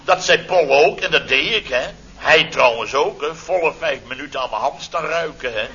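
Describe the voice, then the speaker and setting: An actor playing a blustering, pompous company boss in a radio comedy, speaking theatrically and indignantly with drawn-out emphasis. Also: male voice, a little high, sharp tone. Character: blustering, pompous male boss of a building firm. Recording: dull old radio recording, faint noise.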